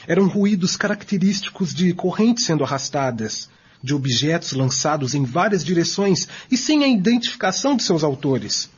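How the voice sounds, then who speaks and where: A man with a Brazilian accent playing an emphatic commentator in a scripted radio drama, with a very raspy voice. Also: a little high, sing-song, normal speed, heavily acted. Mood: amazed, emphatic. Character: emphatic male commentator on spiritist history. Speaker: radio drama narrator and discussant. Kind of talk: scripted radio drama narration in dialogue form. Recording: dull, lo-fi audio.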